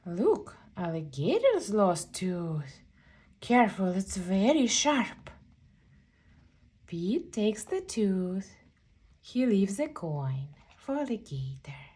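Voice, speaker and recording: A woman reading a children's picture book aloud, telling the story in an expressive, deliberate way for young kids. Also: mid-range voice, slightly rough.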